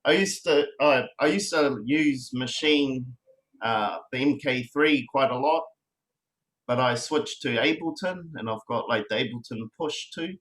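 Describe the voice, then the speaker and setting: A man in his thirties with a New Zealand accent, chatting casually as a guest about making beats, relaxed and rambling with many fillers. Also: medium pitch, unhurried, low-quality recording. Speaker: beatmaker guest. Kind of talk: casual online chat.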